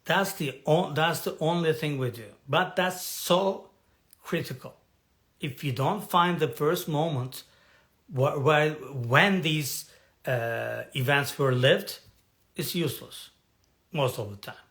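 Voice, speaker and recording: Slow, halting man searching for words. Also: on the high side, a bit bright. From has lived in Turkey for decades. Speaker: personal development coach. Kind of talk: live talk to an online audience.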